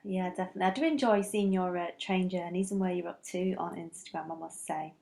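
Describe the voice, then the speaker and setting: A woman's voice: fairly low pitch, fairly even intonation, quick pace, gravelly, warm tone. A woman hosting a podcast, chatting in an upbeat, conversational way. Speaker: podcast host. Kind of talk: casual podcast chat.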